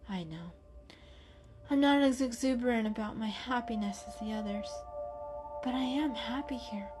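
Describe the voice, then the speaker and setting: A woman voicing a calm, kindly, bookish female cat in a storybook, explaining things gently and precisely. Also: on the high side, moderate pace, over music, close to an everyday voice. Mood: gentle, calm.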